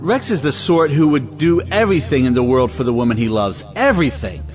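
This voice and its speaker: A man's voice: mid-range voice, lively intonation, brisk, faint music behind, natural voice. Blustering rich bully of a brother, acted by a man, wildly swooping voice. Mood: defensive, flustered. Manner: exasperated, domineering, emphatic. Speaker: actor in an audio play. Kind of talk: theatrical audio drama acting of a 1917 play.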